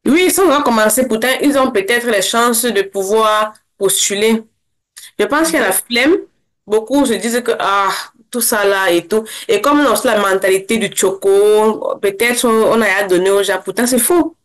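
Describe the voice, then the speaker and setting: A young woman from Cameroon, a guest in an interview, speaking casually and fast, with many restarts. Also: medium pitch. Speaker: interview guest, former scholarship holder.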